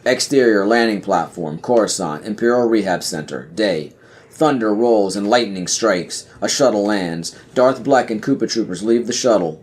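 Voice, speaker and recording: A man's voice: on the low side, a little mellow. A man reading scripted scene directions as the narrator of a podcast audio play.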